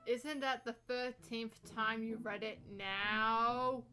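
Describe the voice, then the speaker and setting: A woman playing a doubtful but caring older sister mare in an amateur cartoon fan audio drama, speaking in a measured way. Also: a little high, fairly even intonation, sharp tone, faint music behind. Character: sceptical athletic elder sister mare pony, caring.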